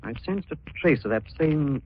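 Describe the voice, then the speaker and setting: An actor playing a calm, thoughtful gentleman detective in 1940s radio drama style. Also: on the high side, moderate pace, natural voice. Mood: grave, reflective. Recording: dull, noisy 1940s radio recording.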